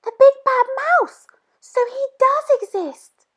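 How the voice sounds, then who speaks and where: A woman voicing a bold young female monster in a storybook reading, with high excited cries. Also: takes it slowly, full character voice. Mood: alarmed.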